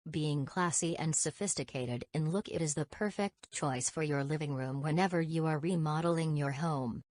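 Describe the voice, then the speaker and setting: A woman with a notably low-pitched voice reads prepared informational text. The sound is close and dry, without any room echo. She delivers it as a scripted read-aloud for exam practice. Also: normal speed, darkish tone.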